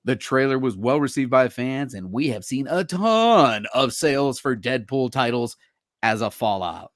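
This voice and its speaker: A man's voice: medium pitch, expressive, a little slow, dry recording, studio quality. A man co-hosting a comic book podcast, reading sales notes in an easygoing, slightly unsure way. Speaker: podcast co-host.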